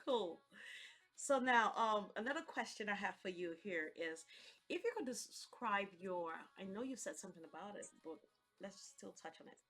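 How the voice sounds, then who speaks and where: A woman's voice: mid-range voice, expressive, moderate pace, fairly bright tone, faint music behind. A woman hosting an interview in a friendly, chatty style with an effusive, encouraging manner. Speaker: host.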